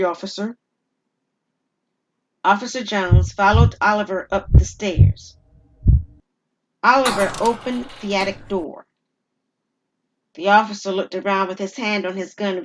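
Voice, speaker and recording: A woman storyteller reading scripted dramatic narration with deliberate, suspenseful pauses. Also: mid-range voice, muffled recording, slight background noise.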